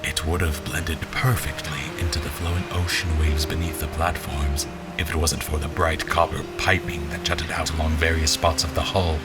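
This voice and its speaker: A man playing a troubled, sardonic general who narrates his memories in literary, deliberate audio-drama prose. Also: very deep voice, level tone. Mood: brooding. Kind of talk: dramatic scripted first-person narration. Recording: music bed under narration.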